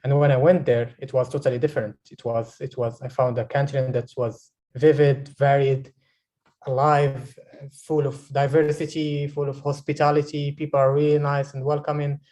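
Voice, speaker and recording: Halting young man on a video call. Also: medium pitch, level tone, mellow. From Morocco. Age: 20s. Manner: hesitant, searching for words with many restarts. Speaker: guest filmmaker.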